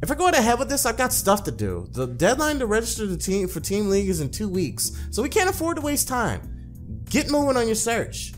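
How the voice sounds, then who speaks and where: A man's voice: high voice, wildly swooping pitch, fairly quick, soft background music, voice pushed up. A man voicing a blunt, demanding young female pro gamer in a visual novel, with a bossy, no-nonsense manner.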